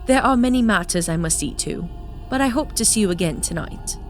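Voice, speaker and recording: A man's voice: sits high, expressive, brisk, soft background music, voice pushed up. A male narrator voicing a composed, fond noblewoman who speaks in a soothing way in an audio drama. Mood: reassuring. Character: calm, fond scheming noblewoman.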